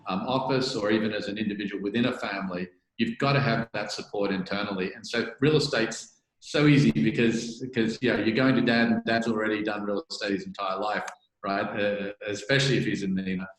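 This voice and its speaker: A man being interviewed as a guest, explaining things conversationally and often tagging on "right?". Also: lowish voice. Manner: explanatory, many restarts and "right?" tags. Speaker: venture capital partner, guest. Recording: echoey room.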